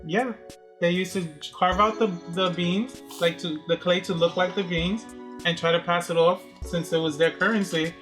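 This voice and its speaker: A woman's voice: on the low side, steady tone, normal speed. A young woman chatting casually as co-host, sharing facts and cracking playful jokes. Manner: joking, playful. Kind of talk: casual chat. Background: music.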